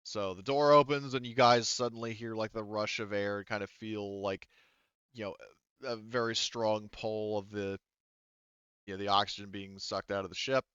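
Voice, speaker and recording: A man with a low, smooth voice works through a tabletop game as its game master. He thinks aloud casually and swears freely. The sound is close and dry, slightly dull and lo-fi. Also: level tone, moderate pace, fairly bright tone, dry recording. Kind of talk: narrating a tabletop roleplaying game.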